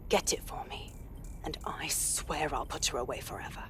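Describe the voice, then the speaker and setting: A stern, low-voiced police inspector. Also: female voice, fairly bright tone. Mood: resolute. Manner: grave, measured, resolute. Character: stern, determined female police inspector briefing an operative. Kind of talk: dramatic mission briefing in a video game. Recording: background noise and ambience.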